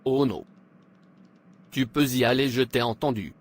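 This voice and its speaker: A man's voice: medium pitch, measured pace, lightly acted. A man playing a stubborn, greedy, bitter young man in a cartoon, with defiant, declarative delivery. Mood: bitter, boastful.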